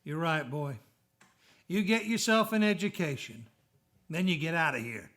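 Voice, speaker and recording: Radio play dad played by a man: kindly older businessman, high voice, measured, patient and sincere. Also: lively intonation, fairly bright tone. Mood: gentle, patient. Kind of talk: old-fashioned radio play acting.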